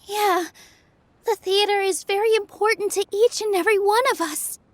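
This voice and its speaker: A woman voicing a gentle, earnest young dancer in a game who speaks softly and sincerely. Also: very high voice, steady tone, moderate pace, dry recording. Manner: soft, soothing, sincere.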